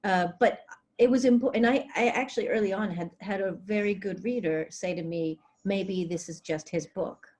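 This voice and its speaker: A woman raised partly in Greece, with Greek as her first language, speaking in an interview over a video call, often pausing with fillers and restarting her sentences. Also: mid-range voice, a little mellow. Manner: hesitant, many fillers and restarts. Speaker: author being interviewed. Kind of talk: conversational interview.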